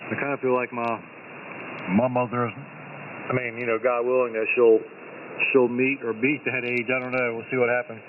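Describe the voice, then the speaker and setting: A man's voice: mid-range voice, level tone, normal speed. A man of thirty-nine, chatting casually and colloquially over a two-way radio. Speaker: amateur radio operator chatting on air. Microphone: two-way radio microphone. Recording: narrow tinny two-way radio, loud noise. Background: loud radio noise.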